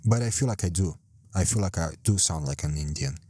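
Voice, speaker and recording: A man's voice: sits low, expressive, a little fast, warm tone, no echo. A man hosting a solo podcast from a home setup, rambling and self-deprecating, swearing often and repeating himself, speaking close to the mic. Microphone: close home mic, sometimes too close.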